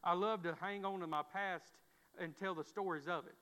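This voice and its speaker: A man's voice: high voice, fairly even intonation, normal speed, pushed and bright. A man preaching a sermon, with frequent repeated words and restarts from a stammer. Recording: dull sound, long church reverb.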